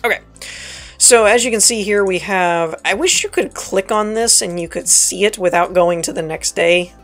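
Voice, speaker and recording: A woman with a raspy, dry voice giving casual let's play commentary, reading game text aloud and correcting herself as she goes. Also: mid-range voice, moderate pace, faint music behind. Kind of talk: casual gameplay tutorial commentary.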